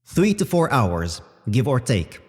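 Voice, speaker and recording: A man's voice: medium pitch, sing-song, darkish tone. Sci-fi audio drama robot, male actor: formal, analytical and unruffled, with swooping intonation, set in long reverb. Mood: clinical, measured. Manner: formal, measured, explanatory. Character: calm analytical male robot companion, precise and unhurried. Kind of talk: scripted audio drama acting.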